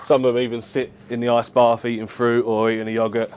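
A man's voice: mid-range voice, little pitch movement, fast, darkish tone, a little hiss or hum. A man, a chef explaining his work casually and matter-of-factly to camera. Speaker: head chef at a football club. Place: indoors, club kitchen and dressing room.

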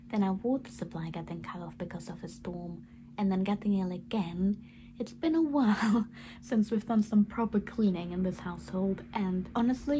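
Croaky-voiced young woman chatting warmly. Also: mid-range voice, a little hiss or hum, poor audio. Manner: chatty, self-deprecating, laughs often. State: slightly croaky from recent illness. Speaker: vlogger. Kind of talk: casual self-care vlog chat. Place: small bedroom at home.

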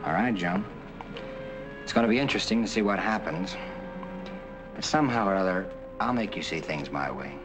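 A man's voice: sits low, animated, normal speed, long pauses. An actor playing a proud, determined American doctor in his thirties, a spurned lover, in 1940s film noir style. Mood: earnest pleading. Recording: 1940s film soundtrack with heavy music.

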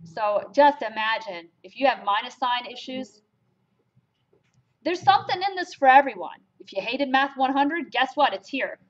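A woman from Germany lectures a math class with emphatic, lively questions. Her voice sits on the higher side. The classroom recording sounds dull and lo-fi. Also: moderate pace. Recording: lo-fi lecture capture.

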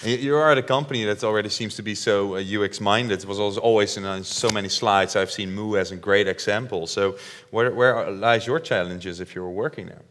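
A man's voice: fairly low pitch, steady tone, brisk, a bit bright, a little hiss or hum. A man interviewing a speaker on a conference stage, asking questions with stammering restarts. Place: large dark conference stage.

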